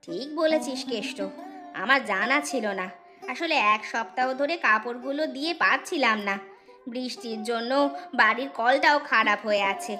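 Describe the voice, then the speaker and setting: A woman voicing a gossipy, sharp-tongued village housewife in a cartoon folk tale, with a teasing, chiding manner. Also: fairly high pitch, normal speed, music in the background, close to an everyday voice. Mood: curious, grumbling.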